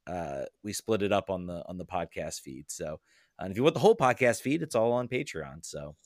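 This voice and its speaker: A man's voice: low voice, animated, a little fast, a little mellow, no room sound, studio quality. A man chatting casually as a guest on a fan podcast, rambling and laughing easily.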